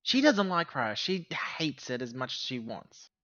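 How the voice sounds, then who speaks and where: A man's voice: medium pitch, big pitch swings, measured pace, sharp tone. A man casually narrating a character's actions in a tabletop game, recorded with slightly dull microphone sound. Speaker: game player.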